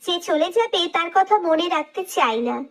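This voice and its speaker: A woman's voice: very high-pitched, expressive, heavily acted. A woman voicing a feisty, playful young woman, a sheltered daughter, talking cheekily and dramatically. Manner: cheeky, dramatic, quick-tongued. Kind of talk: romantic audio story acting.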